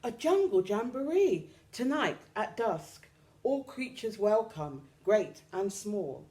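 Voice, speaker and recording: Female storytime reader: soft-edged mellow voice, very varied intonation, dramatic phrasing for small children. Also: mid-range voice, sing-song. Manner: dramatic, deliberate phrasing for young listeners. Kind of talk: expressive children's picture book reading.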